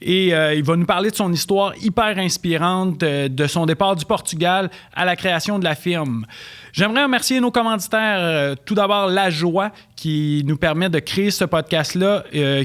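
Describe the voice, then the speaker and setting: A man hosts a podcast and teases his guest in a friendly, joking way. His voice sits higher than most men's. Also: a bit bright. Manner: friendly, joking, quick laughs. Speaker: podcast host. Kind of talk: casual podcast interview.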